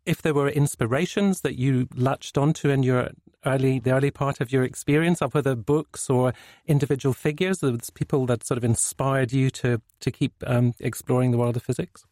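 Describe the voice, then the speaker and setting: Male interviewer, mid-pitched, very dry sound, thoughtful long-form questioning. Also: steady tone, moderate pace, darkish tone, dry recording.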